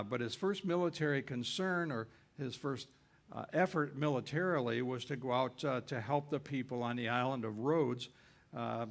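Gravelly-voiced man giving a folksy travel lecture. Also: medium pitch. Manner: folksy, rambling, many uhs and self-corrections. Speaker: cruise ship destination lecturer. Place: lecture room on a cruise ship.

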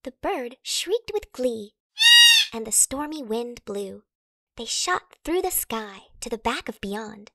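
Animated high-voiced woman reading a storybook. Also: slow pace, no room sound, studio quality. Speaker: storybook narrator. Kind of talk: expressive children's read-aloud in rhyme.

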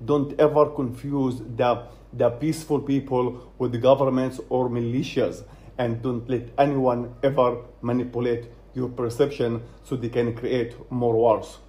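A man's voice: medium pitch, level tone, unhurried, dark tone. A man from Israel talking earnestly and emphatically straight into a phone camera, repeating phrases for effect. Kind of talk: direct-to-camera social video.

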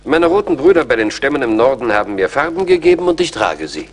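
An actor playing a brave, formal army major in a German radio play, speaking earnestly. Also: male voice, medium pitch, sing-song, fast. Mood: solemn bluffing.

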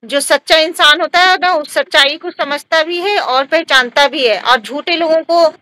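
A woman's voice: sits high, moderate pace, sharp tone, dry recording. A woman giving casual advice to viewers in an emphatic, repetitive way, often tagging questions onto her sentences. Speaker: vlogger and skin treatment provider.

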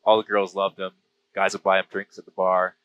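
A man's voice: low voice, completely flat delivery, a little fast, dull audio, slight background noise. A man in his thirties with a western Canadian accent, telling stories casually in an interview over an aviation headset mic. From British Columbia, Canada. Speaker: interview guest, diver and filmmaker. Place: small plane cockpit in flight. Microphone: aviation headset boom. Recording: close aircraft headset intercom.

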